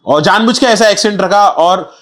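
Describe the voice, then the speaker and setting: A man's voice: sits high, normal speed, fairly bright tone. A young man, a guest on a podcast, speaking candidly and reflectively in casual street slang. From India. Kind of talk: casual interview chat.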